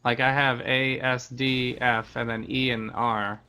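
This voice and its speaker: A man's voice: medium pitch, flat delivery, measured pace, bright, forceful tone, clear, resonant tone. A man giving casual gameplay commentary as a streamer, explaining things in a conversational way. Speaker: game streamer.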